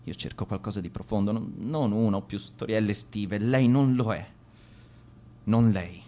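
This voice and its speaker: A man's voice: on the low side, normal speed, mellow, lightly acted. A young man acting in a radio drama as a cocky teenage lifeguard, boastful, curt and defensive. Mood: boastful, reflective. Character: cocky teenage lifeguard boy, defensive, hiding his fear.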